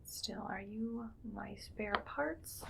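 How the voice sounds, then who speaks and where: A woman playing a bossy, musing female mad scientist in a whispered ASMR roleplay, speaking in a breathy, clinical, quietly menacing way. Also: mid-range voice, heavy background noise, heavily acted. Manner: slow musing, clinical, domineering. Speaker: ASMR performer.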